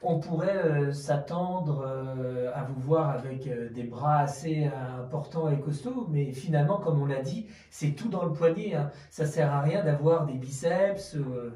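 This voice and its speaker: A man interviews guests live on radio with hesitant, halting questions. His voice sits a little high and soft-edged, heard slightly off the mic in a roomy space. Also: recorded from a distance. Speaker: radio host interviewing athletes.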